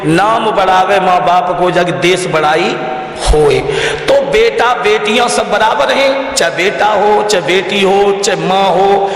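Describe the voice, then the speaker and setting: Guy with up talking like a village preacher, earnest n repetitive, super echoey room, slightly high voice. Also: fairly bright tone. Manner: earnest, exhorting, repeats phrases in lists. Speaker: religious storyteller and devotional singer being interviewed. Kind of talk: interview answers in colloquial, preacherly talk.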